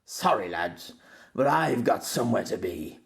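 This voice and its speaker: A man's voice: fairly low pitch, very animated, a little slow, heavily acted. A man playing a brash swordsman, nearly sixty. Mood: taunting. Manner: gruff, taunting.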